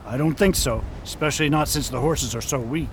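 A man's voice: mid-range voice, fairly quick, a little hiss or hum, lightly acted. A man playing a level-headed deputy in a radio drama, speaking cautiously and matter-of-factly. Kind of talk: amateur radio drama acting.

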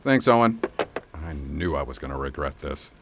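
A man's voice: rumbling low, expressive, moderate pace, background noise. A man in an audio drama playing a wry, exasperated local sheriff who grumbles at himself. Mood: wry, uneasy. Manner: wry, self-deprecating muttering.

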